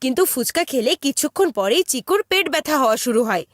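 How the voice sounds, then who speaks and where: A woman voicing a stern but gullible mother in an animated cartoon dub, expressive and scolding. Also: high-pitched, fast, a little hiss or hum. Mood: stern, reasoning.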